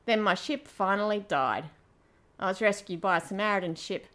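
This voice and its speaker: A woman's voice: mid-range voice, normal speed, fairly bright tone, natural voice. A woman playing a brash, roguish space pirate queen in an amateur audio drama, with a brassy, casual manner and free swearing. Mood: breezy, boastful.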